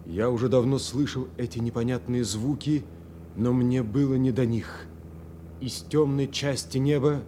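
Male actor for air gunner telling of combat, audio drama; grim, deliberate slow delivery, medium pitch, clear noisy backdrop. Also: warm tone, natural voice. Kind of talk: dramatic first-person narration in audio drama.

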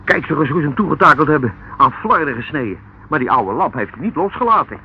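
An actor playing an excitable, wary police sidekick in a radio play, exclaiming in colloquial speech. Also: male voice, fairly high pitch, fast talker. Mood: alarmed. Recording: narrow, noisy old radio recording.

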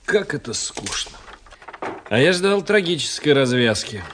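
An actor playing an inquisitive, well-bred young traveller in a radio play, asking questions in a polite, detached way. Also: male voice, fairly high pitch, very animated, measured pace. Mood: ironic curiosity. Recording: radio play with faint noise.

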